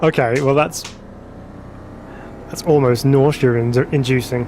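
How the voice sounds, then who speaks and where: A man's voice: mid-range voice, fairly even intonation, measured pace, a little mellow, a little hiss or hum. A man, stuffed up from a cold, giving chatty live commentary while driving a racing sim and reading out viewer chat. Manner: chatty and self-laughing, reads viewer chat aloud. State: congested from a cold.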